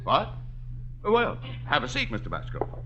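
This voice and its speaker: An actor playing a self-assured insurance company president, formal and emphatic, in a radio comedy. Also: male voice, a little high, huge pitch swings, measured pace, pushed and bright, heavily acted. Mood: formal, reassuring. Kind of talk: 1950s radio situation comedy acting. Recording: dull, hissy old radio broadcast.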